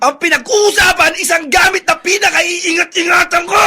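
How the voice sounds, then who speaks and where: A man's voice: very high voice, fairly even intonation, normal speed, pushed and bright, shouting, a faint crowd, full character voice. A man playing a hot-tempered, disrespectful young Filipino university student in a radio drama, indignant and confrontational. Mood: accusing, angry.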